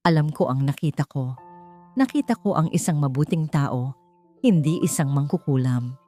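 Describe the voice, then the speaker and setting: A woman with a Filipino accent playing an earnest, soft-spoken, protective father in an audiobook story. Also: deep voice, animated, normal speed, soft background music. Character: earnest, protective adult father, gentle and troubled.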